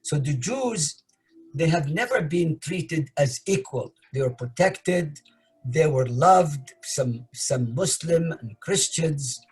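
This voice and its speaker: Very husky older man, slow delivery. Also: on the high side, lively intonation, a little mellow, poor audio. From Iraq. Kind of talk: deliberate spoken commentary.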